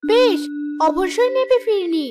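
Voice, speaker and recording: A woman's voice: very high voice, animated, unhurried, darkish tone, soft background music. A woman voicing a kind, hardworking young village girl in a cartoon, earnest and sweet. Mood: helpful. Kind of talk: children's cartoon voice acting.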